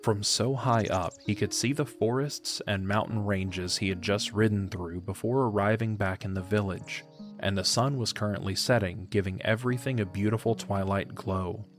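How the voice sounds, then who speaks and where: Male narrator, lowish pitch, scripted fantasy storytelling over a light music bed. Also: normal speed. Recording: music under the voice.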